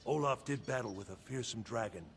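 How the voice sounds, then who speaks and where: A man's voice: mid-range voice, fairly quick, gravelly, close to an everyday voice. An actor playing a proud, courtly male steward of a fantasy game keep, telling lore in a formal, boastful way. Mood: storytelling. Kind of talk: fantasy video game dialogue. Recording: faint noise and game ambience.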